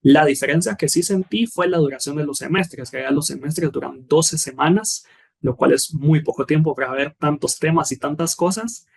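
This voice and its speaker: A young Guatemalan man answering interview questions in a measured way, often restarting phrases and ending points with a tag question. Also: a little high, vocal fry, husky. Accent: Guatemalan Spanish. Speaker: guest, former scholarship student.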